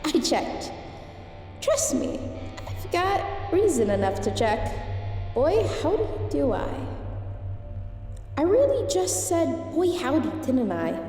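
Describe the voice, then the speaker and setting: A woman's voice: high-pitched, wildly swooping pitch, mellow, full character voice. A woman playing a quirky, hesitant time-travelling heroine in a fan audio drama. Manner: hesitant, halting. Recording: very echoey, distant mic, faint music.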